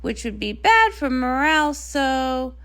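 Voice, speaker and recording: A woman voicing a grumpy, anxious young pony princess, a tomboy sister with a flat, sardonic, reluctant way of talking. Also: high voice, measured pace, exaggerated voice.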